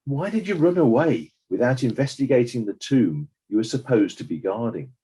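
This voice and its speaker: A man's voice: medium pitch, very animated, dark tone, breathy edge, rough recording. A man playing a stern, sceptical coroner who questions witnesses formally in a dramatized inquest. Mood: sceptical, probing. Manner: formal, measured, probing. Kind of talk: scripted courtroom drama.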